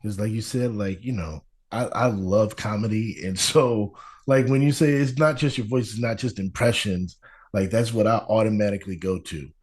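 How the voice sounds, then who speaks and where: A man's voice: on the low side, normal speed, a little mellow, husky. A man hosting a podcast interview, asking loose, rambling questions. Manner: rambling, lots of filler and restarts. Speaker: podcast host. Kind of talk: casual interview chat.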